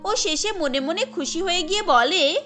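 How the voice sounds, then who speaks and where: A woman's voice: extremely high pitch, lively intonation, a little slow, bright, forceful tone, faint music behind. A woman narrator reading a scripted cartoon story.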